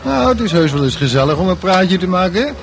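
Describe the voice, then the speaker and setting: A man's voice: high-pitched, very animated, fast talker, vocal fry, heavily acted. A man acting a jovial, coaxing man about town in a radio drama. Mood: chummy, coaxing. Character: chummy, persuasive man about town, nightclub regular. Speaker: actor in a radio play. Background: music.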